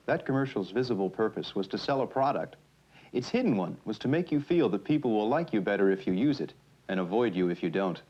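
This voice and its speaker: An actor playing a chatty explainer, presenting an educational film in a conversational, scripted way. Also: male voice, lowish voice, lively intonation, fairly quick, a little mellow, husky. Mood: explaining. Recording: muffled 1970s film soundtrack with music.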